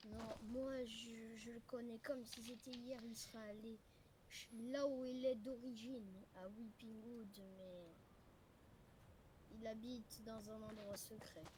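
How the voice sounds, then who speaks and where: Over clear background noise comes a fairly high, youthful voice, a woman's, acting. It is a wounded, grumbling apocalypse survivor in a homemade game roleplay, casual, hesitant and quick to protest. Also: steady tone. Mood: pondering. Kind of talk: improvised amateur game roleplay. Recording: noisy home recording with clicks.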